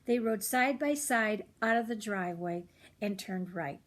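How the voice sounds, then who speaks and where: A woman's voice: mid-range voice, unhurried. An older woman reading a children's chapter book aloud expressively, slipping in chatty little asides to young listeners. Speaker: children's read-aloud host.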